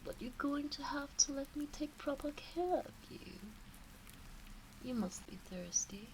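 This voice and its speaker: Slow, whispery teasing fox witch, played by a woman. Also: sits high, expressive, slight background noise, rough recording. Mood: teasing, doting. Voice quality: breathy, soft, often slipping into whisper. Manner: slow, coaxing, teasing baby-talk. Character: teasing, doting kitsune fox witch fussing over her pet. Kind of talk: ASMR roleplay, whispery and intimate. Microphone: close ASMR microphone.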